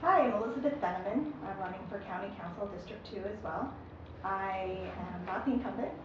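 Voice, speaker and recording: A woman's voice: mid-range voice, fairly quick, dull audio. A woman introducing herself as a candidate, plain and blunt. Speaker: candidate on a panel. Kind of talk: panel self-introduction. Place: public meeting room. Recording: noisy echoey room from far off.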